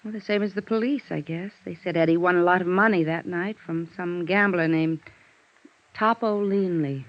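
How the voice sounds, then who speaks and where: A woman playing a world-weary, bitter, guarded widow in a 1950s radio drama, speaking in wistful, halting confessions. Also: on the low side, close to an everyday voice. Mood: bitter, resigned. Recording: dull, band-limited vintage radio recording.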